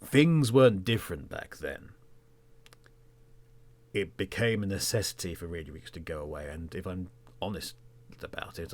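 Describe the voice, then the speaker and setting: Very deep-voiced man hosting a podcast. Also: darkish tone. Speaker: podcast host and presenter. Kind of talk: reflective podcast monologue.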